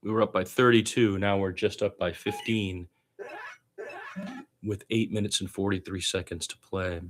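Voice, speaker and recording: A man's voice: sits low, fairly even intonation, normal speed. A man doing amateur radio-style basketball play-by-play in short clipped phrases, with opinionated asides as a partisan fan.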